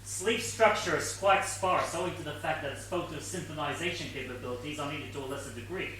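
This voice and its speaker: An actor playing an earnest, pedantic detective sidekick in a comic stage play, explaining things with precise, patient logic. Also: male voice, fairly high pitch, brisk, a bit bright, lightly acted. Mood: pedantic reasoning. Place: theatre stage, mic far off. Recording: distant, echoey theatre stage recording, faint hiss.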